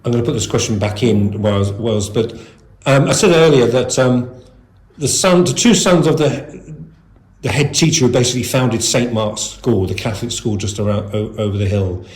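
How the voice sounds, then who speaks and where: A man's voice: mid-range voice, moderate pace. A man asking informal, discursive interview questions. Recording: echoey room.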